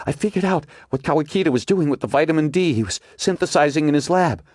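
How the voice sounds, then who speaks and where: Male reader voicing a breathless, insistent woman scientist, pitched well up. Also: lively intonation, fast, a little mellow, no echo, clean studio sound. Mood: urgent. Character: determined young woman scientist, urgent.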